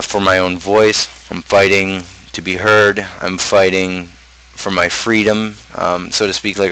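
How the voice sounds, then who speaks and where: A man's voice: deep voice, a bit bright, poor audio. A man streamer talking casually about his own song lyrics, rambling and thinking aloud as he goes. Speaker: streamer host and amateur rapper.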